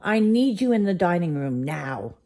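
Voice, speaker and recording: A woman voicing a grumpy, impatient male beast in a children's bedtime story, gruff and demanding. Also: fairly low pitch, big pitch swings, moderate pace, darkish tone, pitched above the performer's own voice. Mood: shouting.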